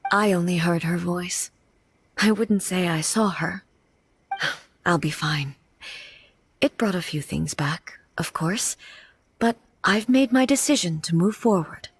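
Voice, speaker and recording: A woman voicing a composed, regal female deity ruler in a game, speaking in a measured, formal and dignified way. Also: on the low side, a little hiss or hum. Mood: solemn. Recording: game audio with faint music behind.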